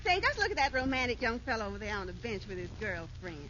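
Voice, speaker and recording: A woman's voice: fairly high pitch, very animated, quick pace, bright, forceful tone. A woman playing a nagging, romance-starved wife in a 1940s radio sitcom, folksy and full of complaint. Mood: wistful. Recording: dull, noisy old radio recording.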